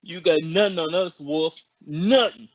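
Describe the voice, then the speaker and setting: A performer voicing a tough, sneering male con man in an old-time radio drama, talking in a gruff way. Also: mid-range voice, unhurried, dry recording, lo-fi sound, lightly acted.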